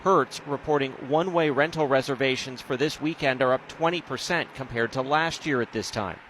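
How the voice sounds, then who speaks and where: A man's voice: mid-range voice, a little fast, fairly bright tone, a little hiss or hum, rough recording. A man reading a scripted broadcast news report as a television reporter.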